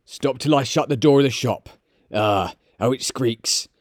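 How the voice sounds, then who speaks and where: A man's voice: medium pitch, unhurried, dry recording, studio quality, lightly acted. A man voicing a sly, jovial shopkeeper of nearly seventy in a storybook, chatty and wry.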